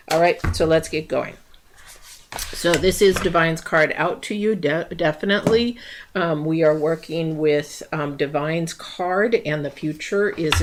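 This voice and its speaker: A woman from the United States giving a casual tarot reading, rambling with many fillers and restarts, her voice a little worn and going hoarse. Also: low-pitched, very noisy. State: losing her voice. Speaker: tarot reader. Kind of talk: casual spiritual reading to camera.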